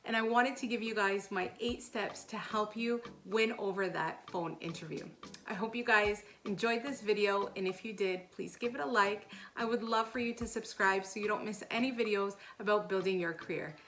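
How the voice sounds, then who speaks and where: A woman's voice: medium pitch, normal speed, fairly bright tone. A woman with a mid-range voice speaks as a career coach and video host. She delivers scripted advice in an instructional way, addressing the viewer directly. There is faint noise behind her voice.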